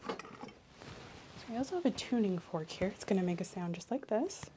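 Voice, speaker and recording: A woman soft-speaking an ASMR medical exam role-play as the examiner, explaining in a clinical way and often slipping into a whisper. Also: medium pitch, very animated, moderate pace, noisy background.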